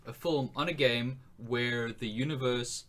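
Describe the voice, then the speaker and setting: A man's voice: mid-range voice, unhurried, fairly bright tone. A man co-hosting a podcast, talking casually and searching for his words as he makes his points. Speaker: podcast co-host. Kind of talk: casual chat.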